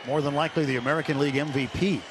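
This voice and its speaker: A man's voice: fairly high pitch, steady tone, rapid-fire, crowd noise. A man doing live radio play-by-play of a baseball game in clipped present-tense calls, as the announcer in the booth. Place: stadium broadcast booth. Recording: noisy live ballpark radio broadcast. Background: loud ballpark ambience.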